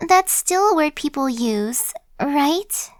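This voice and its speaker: A woman's voice: high-pitched, expressive, slow pace, no echo. A woman playing a chatty, sly young female zombie in an ASMR roleplay, talking in a slangy, cajoling, buddy-like way. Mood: nervous, placating. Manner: casual slangy wheedling, buddy-like.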